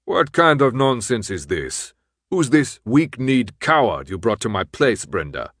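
A gruff, gravelly gray-haired man, acted by a male audiobook narrator. He is curt and scornful, keeps people at a distance and has no patience for them. The recording is dry and close. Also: fairly low pitch, sing-song, moderate pace, fairly bright tone, dry recording. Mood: disgusted, angry. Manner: curt, dismissive.

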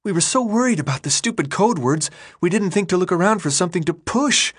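A man doing a bright-voiced teenage girl. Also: high-pitched, expressive, fast talker, husky, pitched above the performer's own voice. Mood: relieved. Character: teenage girl, steady and supportive.

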